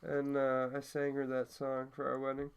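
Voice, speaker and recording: A man's voice: medium pitch, monotone, normal speed, clear, resonant tone. A man co-hosting a casual late-night livestream, laid-back, chiming in with short agreeing remarks. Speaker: co-host.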